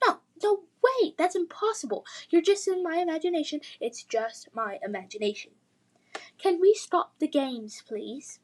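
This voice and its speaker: A woman's voice: very high-pitched, lively intonation, moderate pace, voice pushed up. A woman voicing a frightened, disbelieving young girl in a children's fantasy story, with an emphatic, pleading manner. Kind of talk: children's fantasy read-aloud.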